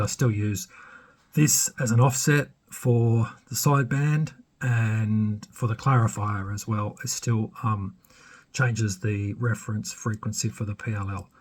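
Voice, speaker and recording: A man giving a casual, unscripted technical walkthrough as a hobbyist host, halting and matter-of-fact, with a slightly husky voice. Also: lowish voice. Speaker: electronics hobbyist and channel host demonstrating a repair.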